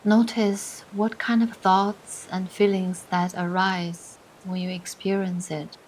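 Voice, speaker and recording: A woman leading a scripted guided meditation in a soothing, deliberate way, pausing between short phrases. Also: medium pitch, level tone, darkish tone, slight background noise, low-quality recording. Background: music.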